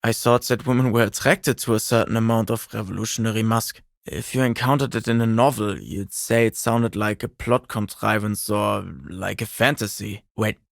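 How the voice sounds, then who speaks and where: An actor voicing an earnest, pedantic young communist intellectual for a video game, bookish, precise and self-serious. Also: male voice, lowish voice, fairly even intonation, moderate pace, creaky, hardly any pauses, no echo, studio quality. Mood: indignant, incredulous. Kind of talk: video game character voice lines.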